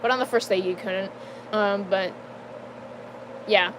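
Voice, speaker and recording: A young adult woman giving a casual personal life update, halting and full of fillers, with nervous laughs between sentences. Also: mid-range voice, noisy background. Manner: hesitant, halting, many fillers and restarts. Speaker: vlogger.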